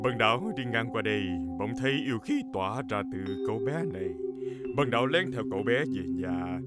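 An actor voicing a pompous, fanatical old exorcist in a cartoon, with melodramatic, archaic, declamatory delivery. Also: male voice, lowish voice, animated, fairly quick. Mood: solemn, grave. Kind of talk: motion comic cartoon acting. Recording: music under the voice.

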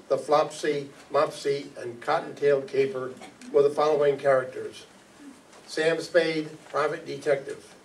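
A man's voice: fairly high pitch, a little mellow. An older man reading a scripted introduction to a radio play as its announcer, on stage in a hall. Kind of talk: scripted introduction read aloud. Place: stage of a community meeting hall. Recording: distant mic, faint room noise.

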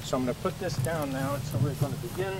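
A man's voice: on the high side, quick pace. An older man leading a walking tour through a cemetery outdoors. He explains things in a chatty way, with many fillers and restarts, and is recorded on a camcorder from a few feet away. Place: outdoor cemetery among trees. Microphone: camcorder mic from a few feet away. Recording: outdoor camcorder audio with traffic noise.

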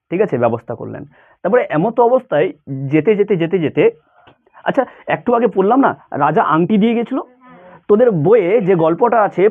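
A man teaches in an informal, conversational way, pitched a little high with lively swings. He keeps asking short questions to check that his students follow. The sound is thin and narrow, like a phone. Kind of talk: informal lesson, explaining a story to school students.

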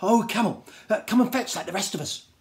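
A man's voice: high-pitched, fairly quick, a little mellow, pitched above the performer's own voice. A man voicing an eager, complaining dog in a children's storybook, emphatic and urgent. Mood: urging.

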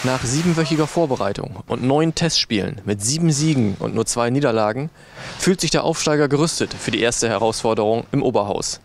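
A man's voice: medium pitch, animated, a little fast, slight background noise. A middle-aged man delivering a scripted sports news report as a reporter.

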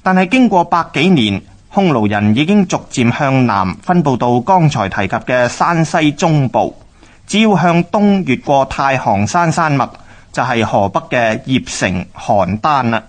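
A man with a Hong Kong Cantonese accent narrating a scripted radio history drama in a measured, explanatory manner. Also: mid-range voice, lively intonation.

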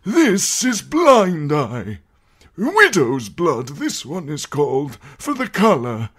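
A man's voice: on the high side, wildly swooping pitch, slow pace, a bit bright, husky, pitched above the performer's own voice, full character voice. A man voicing a frail, quavering old male maester who accuses the prisoner. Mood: quavering accusation.

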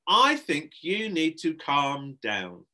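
A man's voice: fairly high pitch, lively intonation, moderate pace, a bit bright, voice pushed up. A man voicing an exasperated woman who entertains at children's parties, firm, insistent and easily flustered, in a storybook reading. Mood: firm warning.

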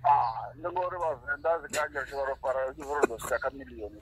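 A man, a friend calling in on the phone, giving quiet, brief agreeing replies. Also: medium pitch, very fast. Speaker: caller, friend of the host. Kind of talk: phone conversation. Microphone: phone call heard over speaker.

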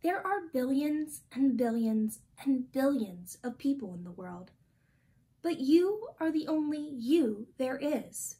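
Storytime reader: woman, 20s, high pitch, unhurried delivery, gently expressive, reading to kids. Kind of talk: reading a children's picture book aloud. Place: small indoor room.

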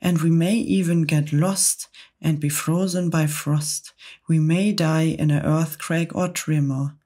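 Bragging storybook centipede guy reciting silly rhymes, a lady reading him, slow and breathy. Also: deep voice, steady tone, mellow, heavily acted. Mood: gleeful. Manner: rhyming, gleeful recital. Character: excitable boastful male centipede.

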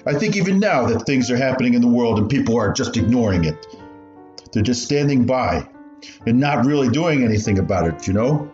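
A man playing an animated, pained old survivor. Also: medium pitch, brisk, lightly acted. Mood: reflective, troubled. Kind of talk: student radio play acting. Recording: dull, roomy recording with music.

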